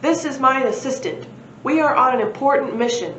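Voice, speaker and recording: A woman voicing a proud, cheerful male stegosaurus professor in a children's storybook, emphatic and confident. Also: brisk. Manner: booming, emphatic, brightly confident. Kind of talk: children's storybook read-aloud. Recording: roomy, muffled, faint background noise.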